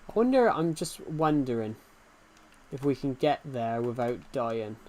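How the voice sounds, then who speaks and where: A man's voice: medium pitch, very animated, a little slow, a little mellow. A man in his twenties chatting casually on a game stream, rambling and repeating himself while answering chat from a desk mic. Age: 20s. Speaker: gaming streamer.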